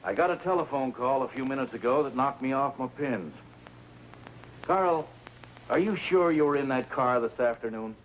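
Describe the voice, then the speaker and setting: An actor playing a calm, probing police lieutenant in a 1950s TV crime drama, speaking in a measured, drily sardonic way. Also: male voice, mid-range voice, natural voice. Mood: firm, confronting. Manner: measured, dry, probing questioning. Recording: noisy 1950s TV soundtrack.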